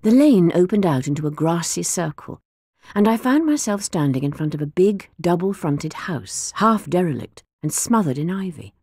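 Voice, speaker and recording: A woman narrating an audiobook in the first person with measured, expressive storytelling. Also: fairly low pitch, breathy edge, no echo, studio quality. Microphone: close studio mic.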